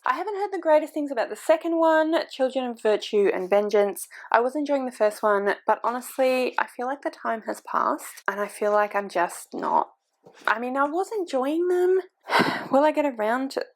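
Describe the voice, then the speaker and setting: A woman's voice: mid-range voice, creaky. A woman in her forties chatting casually to camera as a book vlogger, thinking aloud and poking fun at herself. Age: 40s.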